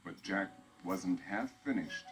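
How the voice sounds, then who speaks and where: Deep-voiced male storybook narrator. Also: expressive, over music. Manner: measured, storytelling.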